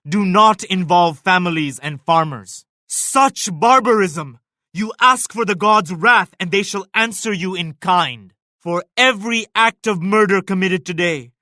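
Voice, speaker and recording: An actor playing a proud, pious Mughal emperor in a video game, making grave, measured and lofty pronouncements. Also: male voice, high-pitched, pushed and bright, dry recording, clean studio sound. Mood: stern warning, then righteous anger. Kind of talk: video game character voice lines, formal declamation.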